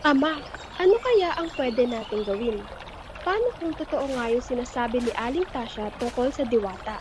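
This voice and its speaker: A woman's voice: fairly high pitch, expressive, normal speed, background noise, lightly acted. A woman playing an earnest, respectful young villager in an amateur radio drama, with a Filipino accent. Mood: concerned.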